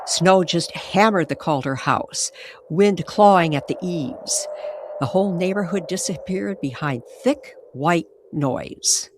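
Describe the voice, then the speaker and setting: A woman narrating a scripted, old-time radio style mystery story with deliberate, suspenseful phrasing. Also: lowish voice, background noise. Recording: music playing behind the voice. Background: music.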